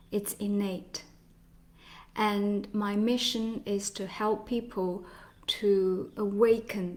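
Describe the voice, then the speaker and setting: A woman in her forties, originally from China, talks as a healer in a live online session. She speaks slowly and gently, pausing often and laughing softly now and then. Her voice sits at an ordinary middle pitch. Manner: slow, gentle, with pauses and soft laughs. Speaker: somatic healer giving a live talk.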